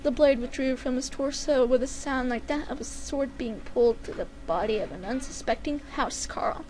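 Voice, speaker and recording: Woman, high voice, amateur story narrator, dramatic scripted reading, faint background noise. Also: moderate pace, a little mellow. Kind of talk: dramatic scripted narration of comic fan fiction.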